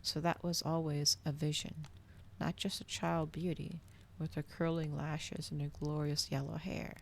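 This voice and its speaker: A soft-spoken woman reading a novel aloud in an ASMR style, at times close to a whisper. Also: sits low, little pitch movement, measured pace, gravelly, a little hiss or hum.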